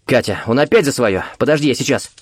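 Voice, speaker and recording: A man voicing a frantic, exasperated searcher in an audiobook, with urgent, loud and indignant delivery. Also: mid-range voice, animated, quick pace, no echo, lightly acted.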